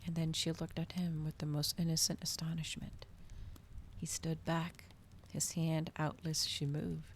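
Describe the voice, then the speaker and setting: Female ASMR reader, low and creaky, hushed delivery drifting into whispers, faint noise behind. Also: flat delivery, measured pace. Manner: hushed, often slipping into whispers. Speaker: ASMR streamer reading a novel aloud. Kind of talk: ASMR storybook reading.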